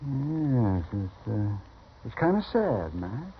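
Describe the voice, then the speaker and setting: An American man playing a sorrowful, halting sidekick to a marshal in a 1950s radio western. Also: medium pitch, big pitch swings. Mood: sad, hesitant. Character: slow, sorrowful male sidekick of a frontier marshal. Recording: muffled, noisy 1950s radio recording.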